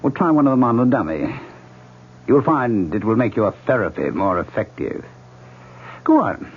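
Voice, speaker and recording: A husky, goading male ghost. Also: lowish voice, very animated, moderate pace, heavily acted. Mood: scheming. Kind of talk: 1970s radio drama acting. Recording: tinny lo-fi old radio broadcast.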